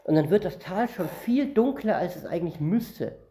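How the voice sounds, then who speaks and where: A man's voice: high-pitched, lively intonation, normal speed, mellow, a little hiss or hum. A man from northern Germany preaching a Bible-teaching sermon, reading verses aloud and explaining them word by word in a conversational way.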